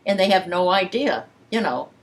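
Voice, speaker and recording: Older lady in her 80s chatting away, kinda low voice, blunt and playful, really leans on her words. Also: animated, moderate pace. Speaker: sex educator giving private sessions. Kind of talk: casual frank conversation.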